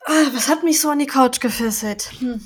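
A chatty woman with a fairly high voice talks loosely and at length, laughing often and filling pauses as she sums up a book she liked. She sounds relaxed and casual, like a friend talking on a podcast. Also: breathy edge. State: has a cold. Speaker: podcast co-host. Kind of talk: casual chat about books and audio dramas.

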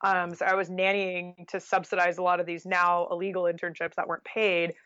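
A woman's voice: on the low side, flat delivery, brisk, fairly bright tone, dry recording, muffled recording. An American woman telling stories casually as an interview guest, chatty and sprinkled with "like". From Oregon, United States.

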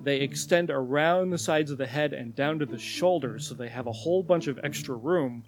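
A man co-hosting a podcast, calmly explaining facts in casual chat. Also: on the high side, normal speed, soft background music. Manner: calm, explanatory, laughs along. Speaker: podcast co-host.

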